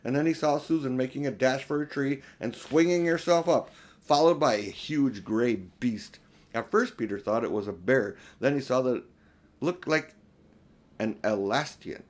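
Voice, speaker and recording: An older man reads a children's storybook aloud. His voice sits at a middle pitch, and his narration is plain and homely, like an amateur audiobook. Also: normal speed.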